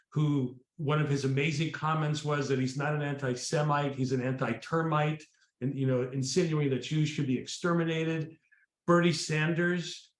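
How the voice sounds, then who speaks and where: A man interviewing a guest, laying out a long, opinionated question in a roomy, echoing recording. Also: a little high.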